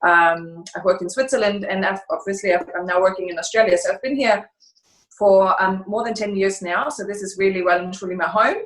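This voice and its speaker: A woman's voice: medium pitch, a little fast. German-accented woman in an echoey room. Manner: thoughtful, searching for words, frequent hesitations. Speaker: guest, consultancy founder. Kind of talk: interview answers. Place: home room by a fireplace.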